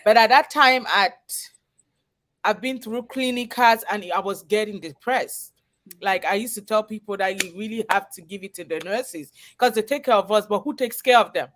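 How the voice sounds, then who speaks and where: A woman's voice: medium pitch, normal speed, a bit bright. Interview guest: woman, Cameroonian accent, earnest conversational storyteller, mid-range voice. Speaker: guest, chef and business owner. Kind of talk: personal storytelling in a live interview.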